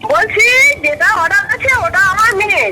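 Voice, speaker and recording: A woman's voice: very high voice, animated, fairly quick, heavy background noise. A middle-aged woman on a phone call as a parcel customer, protesting firmly in everyday conversation. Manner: firm, protesting. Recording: phone call under loud music. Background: loud music.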